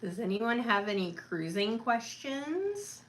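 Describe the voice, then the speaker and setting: A woman in her thirties co-hosting a cruise livestream, chatting in a relaxed, matter-of-fact way and playfully pushing back on her friend. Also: fairly high pitch, slow pace. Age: 30s. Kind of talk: casual livestream chat.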